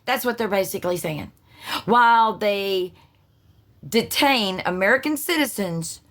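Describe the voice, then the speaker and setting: A woman's voice: mid-range voice, a bit bright. Blunt, profane woman ranting. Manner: blunt, profane and sarcastic, with frequent restarts. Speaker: political commentary host. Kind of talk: casual opinion monologue.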